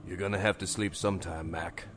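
A man's voice: sits low, fairly even intonation, brisk, fairly bright tone, a little hiss or hum. An actor playing a suspicious, challenging crewman in a horror film.

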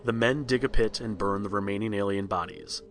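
Low-voiced man narrating a read-along story. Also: steady tone, brisk, a bit bright, a little hiss or hum.

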